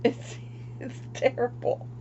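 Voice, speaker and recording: An older woman from Florida, chatting casually on her craft livestream, rambling and laughing at herself, often trailing off quietly. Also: slow, lo-fi sound, a little hiss or hum. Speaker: craft vlogger.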